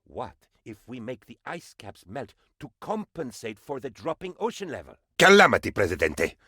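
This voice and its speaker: An actor playing an excitable, fawning presidential advisor on a tropical island in a video game, with a theatrical, melodramatic, flattering manner. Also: male voice, medium pitch, normal speed, breathy edge, no room sound, clean studio sound, heavily acted. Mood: musing, then alarmed, scheming. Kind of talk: animated video game voice lines.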